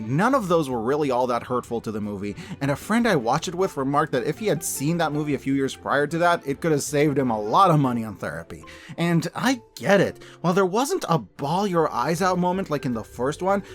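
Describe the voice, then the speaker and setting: Male review host, higher-pitched and very lively, emphatic and playful, music underneath. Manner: emphatic, playful, colloquial, with dramatic repeats for effect. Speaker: host of a yearly media review show. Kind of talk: scripted review commentary.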